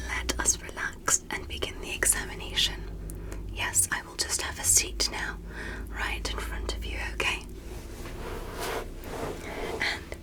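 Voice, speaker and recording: A woman voicing a gentle alien doctor in an ASMR roleplay, speaking slowly and soothingly in a soft, breathy whisper. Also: lightly acted, poor audio. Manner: slow, deliberate, soothing, polite. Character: gentle, reassuring alien doctor giving a medical exam. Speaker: medical examiner.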